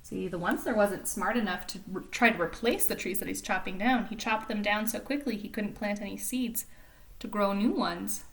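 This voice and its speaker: A woman reading a storybook aloud to children in an expressive storyteller's style, with simple explanatory asides. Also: mid-range voice, moderate pace, creaky.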